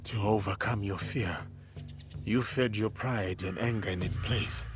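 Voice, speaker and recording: An actor playing an earnest, respectful warrior in samurai training in a video game, speaking in a formal, composed way. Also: male voice, fairly low pitch, measured pace, over music, natural voice. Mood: respectful, reflective. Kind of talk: dramatic video game acting.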